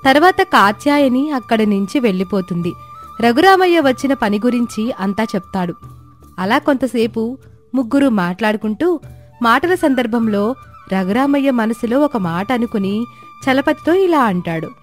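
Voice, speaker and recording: A woman's voice: on the high side, animated, normal speed, soft background music. A woman reading a scripted moral tale as the storyteller.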